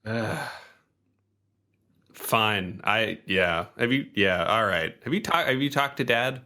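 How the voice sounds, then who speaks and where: A man's voice: lowish voice, lively intonation, slow, sharp tone, full character voice. A man playing a skeptical, frustrated nephew in a tabletop game, stammering and exasperated. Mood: grudging. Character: skeptical, frustrated nephew learning Zen-like tricks, male.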